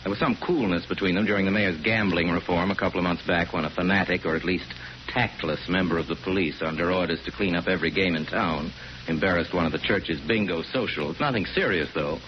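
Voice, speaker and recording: A man narrating a 1940s radio drama as a wry, urbane storyteller with a measured, deliberate delivery. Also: low-pitched, natural voice. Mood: wry, confiding. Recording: muffled, hissy 1940s radio broadcast.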